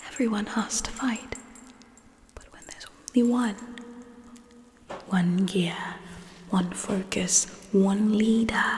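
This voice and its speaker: A young woman voicing a coolly menacing, scheming female alien leader in a breathy, deliberate ASMR roleplay whisper. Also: a little high. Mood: commanding, persuasive. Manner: slow, deliberate, hushed, coolly condescending. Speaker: ASMR performer. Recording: long reverberant echo.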